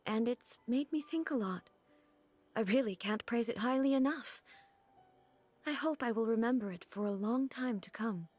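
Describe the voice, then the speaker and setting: A stately female ruler speaks slowly and deliberately in a slightly raised, formal voice. She stays stiff and earnest, and soft game music hums behind her. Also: on the high side. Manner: measured, formal, earnest diction. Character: regal composed female ruler, stiff and formal, out of touch with everyday life. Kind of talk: video game cutscene voice acting.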